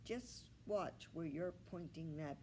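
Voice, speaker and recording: A woman's voice: low-pitched, expressive, takes it slowly, a little mellow, slight background noise. A woman voicing a grumpy, dignified small male pig in a children's storybook, grumbling and indignant. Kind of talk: children's storybook read-aloud.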